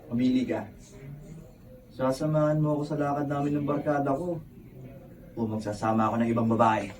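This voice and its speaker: A man plays a pushy young Filipino college boyfriend, talking quickly. He is curt and insistent, in radio drama style. The voice sits away from the microphone. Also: mid-range voice, fairly even intonation, a little mellow, slight background noise, close to an everyday voice. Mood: impatient, demanding. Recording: distant from the microphone.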